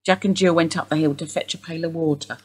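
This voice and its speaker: Actress as husky, sardonic older woman. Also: low voice, fairly even intonation, fast, rough recording. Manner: sardonic, wry. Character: older woman, Mrs Steele, sharp-tongued and bitter. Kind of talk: radio play acting.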